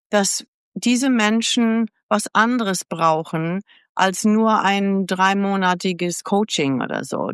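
A woman around seventy, born in the United States, tells her life story in a relaxed interview. Her voice is smooth and resonant at a mid pitch. The recording is close and dry, with no room sound. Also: no room sound. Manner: candid, chatty storyteller who acts out past dialogue. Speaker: podcast guest.

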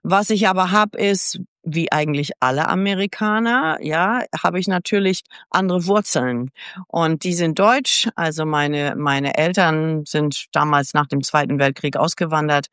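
A woman's voice: sits low, a little slow, a bit bright, clean tone, dry recording, clean studio sound. A woman around seventy, born in the United States, candidly telling her life story as a guest in a casual interview and acting out past conversations. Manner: candid, chatty storyteller who acts out past dialogue. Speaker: podcast guest.

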